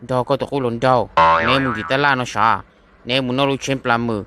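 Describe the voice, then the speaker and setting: A man's voice: medium pitch, level tone, fast, loud music behind the voice, close to an everyday voice. A man playing a young man in love in a cartoon romance, speaking in a conversational way. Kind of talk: amateur animated cartoon dialogue.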